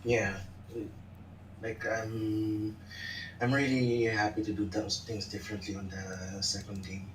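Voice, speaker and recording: Lowish guy from the philippines on discord, soft-spoken, sounds far off and echoey. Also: little pitch movement, unhurried, slight background noise. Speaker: artist guest. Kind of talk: casual chat over voice call. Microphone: voice chat. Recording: distant, roomy voice chat audio.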